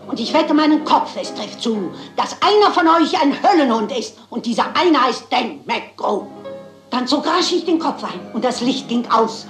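A roomy sound surrounds an elderly spinster sleuth. She is genteel, unflappable and a touch theatrical, with a highish voice, in the manner of a crime radio play. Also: lively intonation, normal speed, soft background music. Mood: dramatic reciting. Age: older.

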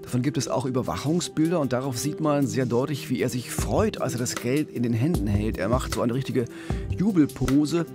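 A man's voice: medium pitch, lively intonation, brisk. A man in his fifties co-hosts a true-crime podcast. He tells the case in a conversational way, close on a studio microphone, with music underneath. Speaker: podcast co-host. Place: radio studio. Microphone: studio microphone on boom arm with pop filter. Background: background music.

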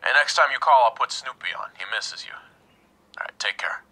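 A man's voice: medium pitch, expressive, moderate pace, sharp tone, poor audio. A man playing a kindly, slightly awkward former stepfather leaving an affectionate, folksy voicemail. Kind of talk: acted voicemail monologue.

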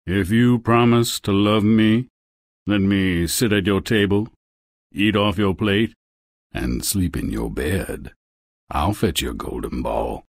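Smug, low-voiced courtly frog. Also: male voice, takes it slowly, no echo, studio quality, exaggerated voice. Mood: suave, coaxing. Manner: suave, wheedling, theatrical. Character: smug, courtly male frog, secretly a king. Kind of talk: video game fairy-tale character acting.

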